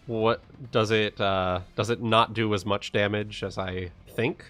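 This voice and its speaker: A man's voice: fairly low pitch, steady tone, unhurried, fairly bright tone. A man casually playing a tabletop roleplaying game, working out his rolls and numbers aloud.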